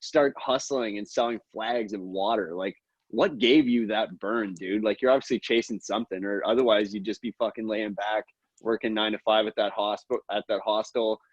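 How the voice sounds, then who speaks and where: Man, podcast host, low husky voice, laid-back slangy questions, dry room. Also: fairly even intonation, moderate pace, no echo. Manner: laid-back, says "man" and "dude" a lot. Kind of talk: casual interview questions. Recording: dry muffled podcast audio.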